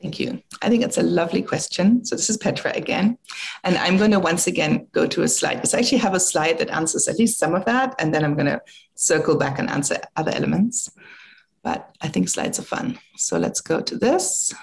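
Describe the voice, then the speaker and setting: German-accented older woman with gravelly voice. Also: on the low side, lively intonation, a little fast. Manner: reflective, thinking aloud with frequent restarts and fillers. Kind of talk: conversational talk. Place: home room, video call. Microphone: video call.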